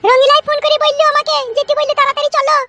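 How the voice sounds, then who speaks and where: A woman's voice: very high voice, little pitch movement, racing, over loud music. A woman voicing an agitated, nagging village mother-in-law in a comedy cartoon. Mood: agitated, urgent. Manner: urgent, nagging.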